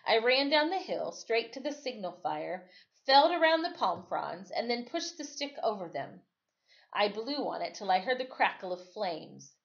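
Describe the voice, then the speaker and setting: A woman reading a story aloud in an expressive, dramatic storytelling way, like a teacher. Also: mid-range voice, normal speed, vocal fry. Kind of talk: read-aloud storytelling with casual asides. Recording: dull, lo-fi sound.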